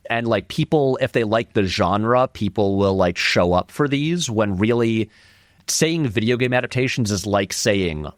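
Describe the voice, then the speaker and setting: Guy chatting about movies, lowish voice that swings around a lot, rambly, punches key words, close dry sound. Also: animated, measured pace, no echo. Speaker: interview guest, filmmaker and video essayist. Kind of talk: casual conversational film commentary.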